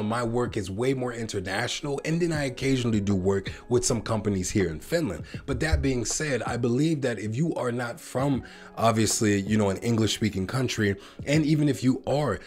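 American male vlogger, lower register, chatty and meandering, faint music bed. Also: a little mellow. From United States. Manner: rambling, talks straight to viewers as guys. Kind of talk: casual reaction vlog to camera.

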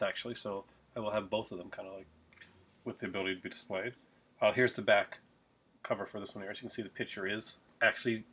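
Husky, low-voiced middle-aged man. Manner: rambling, many fillers and restarts. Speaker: collector unboxing a Blu-ray. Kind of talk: casual unboxing vlog. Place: small home room, indoors. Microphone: webcam at desk.

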